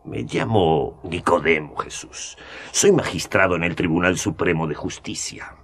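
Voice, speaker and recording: An actor playing a scheming older magistrate with a gray beard, who talks smoothly and chuckles often in a radio drama. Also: male voice, low-pitched, measured pace, a bit bright, heavily acted. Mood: sly.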